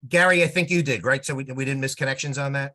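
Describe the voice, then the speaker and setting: A man's voice: mid-range voice, fast, pushed and bright. A man giving an informal, wordy, businesslike update on a conference call. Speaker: meeting participant giving a report. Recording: teleconference call audio.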